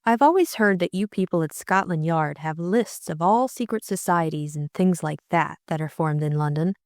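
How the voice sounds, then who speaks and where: A female narrator acts out a spirited young lady amateur sleuth in a mystery audiobook. She is courteous yet persistent and probing, speaking in a slightly low voice. The recording is close and dry. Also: animated, moderate pace, dry recording. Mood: polite, persistent. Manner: polite, probing, direct.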